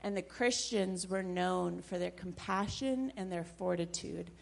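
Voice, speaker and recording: A woman's voice: lowish voice, unhurried, gravelly. A woman who leads a church ministry shares an earnest personal reflection with the congregation, with some fillers. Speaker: church member sharing a reflection. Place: church sanctuary.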